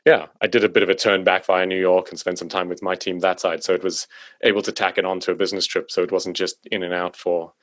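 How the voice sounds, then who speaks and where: A man from South Africa, a podcast guest, chatting in a relaxed way with many fillers and restarts. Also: deep voice, quick pace, dry recording, clean studio sound. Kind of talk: casual conversation. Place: home in Stockholm.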